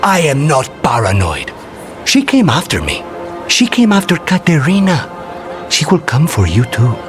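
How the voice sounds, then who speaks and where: A man's voice: fairly high pitch, huge pitch swings, normal speed, music in the background. A man voicing a brooding, courteous assassin with a dry, guarded manner in a video game cutscene. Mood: urgent, insistent.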